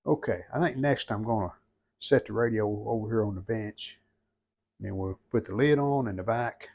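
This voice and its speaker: A man's voice: fairly low pitch, moderate pace, vocal fry, a little mellow. A man talking casually through hands-on restoration work, in short, plain-spoken remarks as he goes. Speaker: vlogger restoring an antique radio. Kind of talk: casual hands-on workshop narration.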